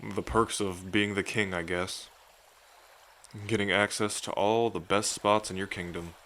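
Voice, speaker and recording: A man's voice: lowish voice, steady tone, a little slow, a bit bright, slight background noise. A man playing an affectionate dragon king in an intimate ASMR roleplay, speaking in a casual, tender way to a lover. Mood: amused, relaxed. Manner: tender, casual, hesitant when moved.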